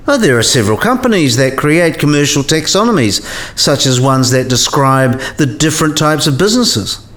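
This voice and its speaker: A man acting in a scripted radio play as a learned professor, precise and didactic, with a dry, gently sceptical manner. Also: on the high side, expressive, moderate pace. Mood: didactic, measured. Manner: precise, didactic, dryly teasing.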